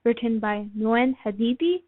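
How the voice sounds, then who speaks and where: A woman reading a children's picture book aloud in an expressive storytelling style. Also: a little high, unhurried. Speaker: storybook reader. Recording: phone-like thin band, dry.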